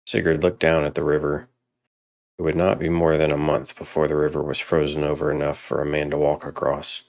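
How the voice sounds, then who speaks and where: A man's voice: extremely low pitch, completely flat delivery, a little fast. A man narrating a dramatic story from a script, as a storyteller.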